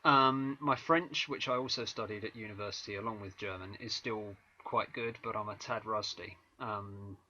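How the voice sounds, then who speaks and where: A man's voice: fairly low pitch, normal speed, hardly any pauses, sharp tone, slight background noise. A man with an English accent, a guest musician chatting in an interview, talking with frequent ums and restarts. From England. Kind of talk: casual interview chat. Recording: dull lo-fi video call audio.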